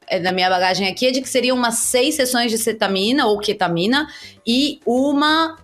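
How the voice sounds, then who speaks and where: Woman podcast host asking measured questions. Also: on the high side, faint music behind. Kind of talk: interview questions.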